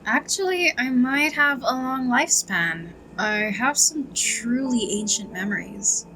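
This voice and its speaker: A woman playing a gentle, earnest, thoughtful young character in a video game. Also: on the high side, animated, measured pace, slight background noise, exaggerated voice, poor audio. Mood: earnest, wistful. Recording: game music behind the voice.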